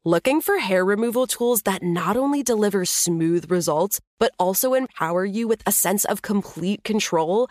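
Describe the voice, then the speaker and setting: A woman's voice: mid-range voice, animated, normal speed, few pauses, darkish tone, dry recording. A woman reading a scripted product ad in an upbeat, polished sales tone. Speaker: ad narrator. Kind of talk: scripted ad read.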